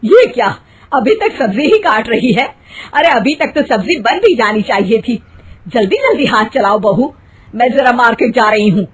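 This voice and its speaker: Woman putting on a sharp-tongued, scolding cartoon mother-in-law. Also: on the high side, expressive, moderate pace, natural voice. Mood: impatient scolding. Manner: taunting, commanding. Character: scolding, sarcastic, bossy middle-aged mother-in-law.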